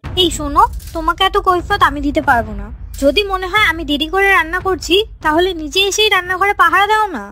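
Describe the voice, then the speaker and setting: Woman voicing a high, snappy young daughter-in-law. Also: quick pace, bright, forceful tone. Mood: snappy, defiant. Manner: snappy, defiant. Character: jealous, quarrelsome young village daughter-in-law. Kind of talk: cartoon character dialogue.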